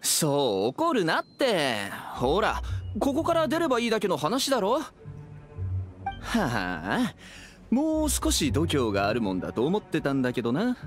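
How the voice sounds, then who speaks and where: An offhand young male game character. His slightly high voice swoops widely as he speaks casually over faint music. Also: huge pitch swings, unhurried. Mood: placating, exasperated. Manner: offhand, colloquial. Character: casual, unbothered young man soothing his companion. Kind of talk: Japanese game voice acting.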